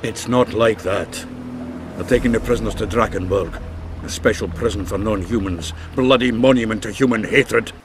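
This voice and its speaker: An actor playing a rough, indignant dwarf warrior in a fantasy video game, speaking bluntly and forcefully. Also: male voice, lowish voice, normal speed, background noise, lightly acted.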